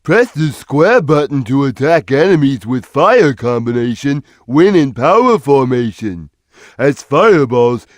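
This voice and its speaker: An actor playing a big, gentle, simple-minded cat in a video game, talking in a slow, drawn-out way. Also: male voice, on the high side, no echo. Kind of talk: video game character lines.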